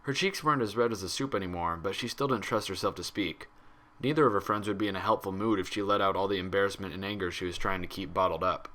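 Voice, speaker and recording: A man's voice: low voice, fast, bright, forceful tone. A man reading a scripted story aloud as its narrator. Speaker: fan fiction reader.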